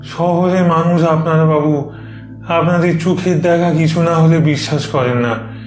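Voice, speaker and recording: A worried village rickshaw man in a horror cartoon, voiced by a man. His highish voice is earnest and deferential, full of ominous warning, with echo and faint music. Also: steady tone, unhurried, close to an everyday voice. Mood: alarmed warning. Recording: roomy echo.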